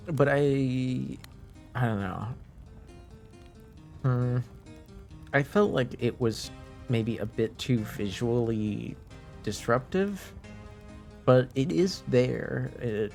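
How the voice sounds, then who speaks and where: Male streamer, French first language, gravelly fry, unhurried, hesitant, casual chat, slightly under the weather. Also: medium pitch, darkish tone. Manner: hesitant, halting, often trailing off. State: slightly under the weather, deeper than usual, clearing throat. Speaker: live streamer, host. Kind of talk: casual livestream chat with viewers. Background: faint music.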